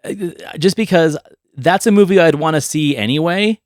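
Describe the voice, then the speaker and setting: A man's voice: on the high side, moderate pace, dry recording, clean studio sound. A middle-aged man hosting a casual film and games podcast, chatting with an enthusiastic storytelling manner. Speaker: podcast host. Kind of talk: casual chat about films and games.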